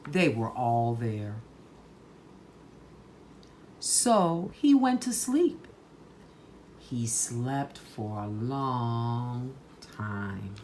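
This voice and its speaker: A woman reading a children's story aloud in a deliberate, emphatic, dramatic way. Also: extremely low pitch, lively intonation, darkish tone, a little hiss or hum. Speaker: storytime reader.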